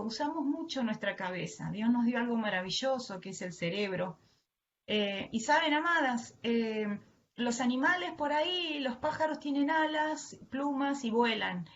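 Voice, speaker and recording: A woman preacher with an Argentine accent and a fairly high voice delivers an online devotional. She speaks earnestly, addressing her listeners with affection. The audio is dull and lo-fi, with faint noise underneath. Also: fairly bright tone. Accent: Argentine Spanish. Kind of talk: devotional sermon to an online audience.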